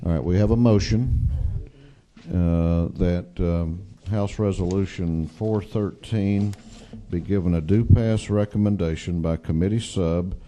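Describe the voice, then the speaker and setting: A man's voice: low voice, a little slow, mellow. A man chairing a legislative committee hearing, moving through procedure in a matter-of-fact way.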